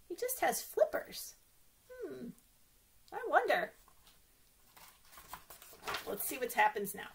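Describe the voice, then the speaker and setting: Animated, high-voiced woman hosting children's story time. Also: breathy edge. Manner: playful, exclaiming, asks the listening children questions. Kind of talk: animated picture-book read-aloud for young children.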